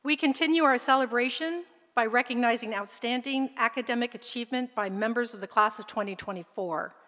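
A woman's voice: on the high side, brisk, bright, forceful tone. A woman at a podium formally announcing academic honors at a graduation ceremony in a large hall. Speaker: school administrator announcing honors. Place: large concert hall stage. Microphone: podium microphone. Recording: long hall reverb, faint crowd.

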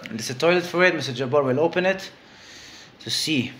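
Afghan male vlogger, twenties, slightly high voice, handheld phone indoors, faint noise and clicks, casual guide talk. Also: animated, a little fast, fairly bright tone, long reverb tail. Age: 20s. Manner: short, repetitive descriptive phrases. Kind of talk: casual vlog narration to camera. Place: indoors in hotel halls, rooms and dining room. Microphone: phone at arm's length.

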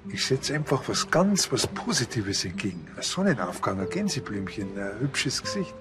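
An actor playing a quirky, earnest patrol cop with a musing manner in a TV crime comedy. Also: male voice, on the low side, big pitch swings, moderate pace, music in the background. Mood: dreamy. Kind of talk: German TV crime comedy acting.

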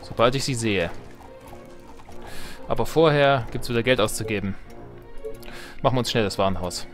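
Man, medium pitch, casual let's play commentary, wry thinking aloud, prominent game music behind. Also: moderate pace. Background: video game music.

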